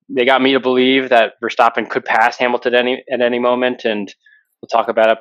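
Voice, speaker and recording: A man leads a relaxed podcast discussion, working through his thoughts out loud and repeating words as he goes. His voice sits at an ordinary pitch, and the recording sounds a little dull and lo-fi. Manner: thinking aloud, halting with repeated words. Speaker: podcast host. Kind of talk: casual discussion.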